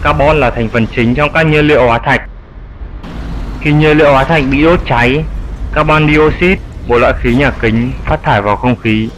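A man's voice: medium pitch, moderate pace, a bit bright, a little hiss or hum, close to an everyday voice, poor audio. A man voicing a wise, elderly grandfather bat who patiently explains things in an educational cartoon dub. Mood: patient, explaining. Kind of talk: dubbed educational cartoon dialogue.

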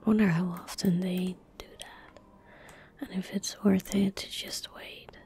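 Slow, whispering young woman. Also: on the low side, flat delivery. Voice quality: soft, breathy whisper. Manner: gentle, unhurried, murmuring. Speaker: streamer playing a video game. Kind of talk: ASMR gameplay commentary. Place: small indoor room at a desk. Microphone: close desktop microphone. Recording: close-miked with faint background noise. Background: faint game ambience.

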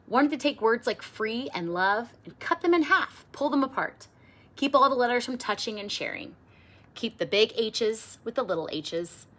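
A middle-aged woman reading a children's picture book aloud expressively, with theatrical emphasis and drawn-out words. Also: on the high side, pushed and bright. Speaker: storybook reader. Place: small indoor room with bookshelves.

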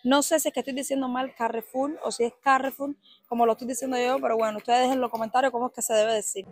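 A woman from Cuba with a Cuban Spanish accent, chatting excitedly to her viewers while walking a supermarket aisle. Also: a little high, quick pace. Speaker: vlogger. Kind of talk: casual walk-through vlog.

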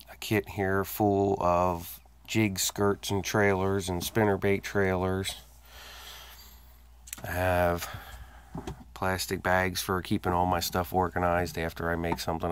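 A man's voice: low-pitched, monotone, a little slow, a little hiss or hum. A man giving a casual, matter-of-fact tour of his home fishing workshop, filmed on a phone he holds himself. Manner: plain, matter-of-fact listing with hesitant fillers. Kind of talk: casual walkthrough narration. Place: cluttered home workshop indoors. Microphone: handheld phone camera held by the speaker.

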